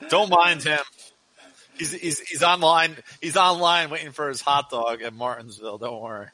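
A man's voice: a little high, steady tone, moderate pace, pushed and bright, dry recording, poor audio. A man co-hosting a casual podcast about fights and betting, laughing often and restarting his sentences. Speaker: podcast co-host.

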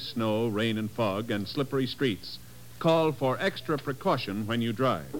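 A man's voice: mid-range voice, poor audio. Formal 1950s radio announcer, male.

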